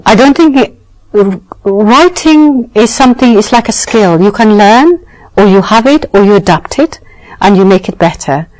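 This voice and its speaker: A woman's voice: mid-range voice, expressive, moderate pace, a little mellow, rough recording. A British woman, a novelist and scriptwriter, answering interview questions in a thoughtful, emphatic way with frequent self-corrections. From Britain.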